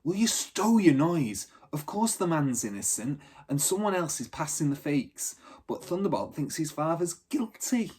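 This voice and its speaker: A man's voice: fairly high pitch, very animated, normal speed, a little mellow, breathy edge, pitched above the performer's own voice. A man voicing a bossy, impatient but loyal young girl from a street gang in a storybook, with slangy scolding. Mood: impatient, insistent.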